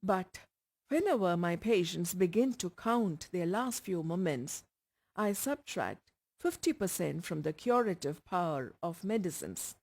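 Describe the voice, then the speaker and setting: A woman voicing a busy male doctor, frank and measured, with deliberate pauses. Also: fairly low pitch, animated, a little mellow.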